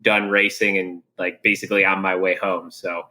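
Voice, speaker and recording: A young American man speaking as a guest in an interview, relaxed and candid, with many filler words. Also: low voice, moderate pace. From USA. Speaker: guest, professional triathlete. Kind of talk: casual interview chat.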